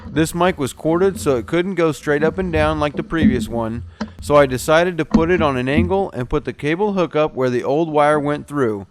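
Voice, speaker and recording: A man's voice: a little high, slight background noise. A man narrating a step-by-step boat repair how-to as the show's technician host. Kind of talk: explanatory how-to voice-over narration.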